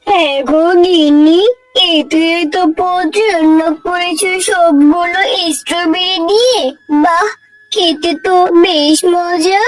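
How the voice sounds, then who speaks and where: A woman's voice: extremely high pitch, unhurried. A woman voicing a poor, good-natured village husband and father in a cartoon, simple and agreeable. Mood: delighted. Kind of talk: cartoon dialogue. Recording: distant voice under loud music.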